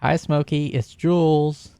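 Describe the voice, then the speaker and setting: A man plays a cheeky, casual woman in a tabletop roleplaying game. She is breezy and coy, a little hesitant, with a creaky voice recorded close and dry. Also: fairly high pitch, steady tone, takes it slowly, mellow, no echo, voice pushed up. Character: Jules, casual cheeky woman who smokes.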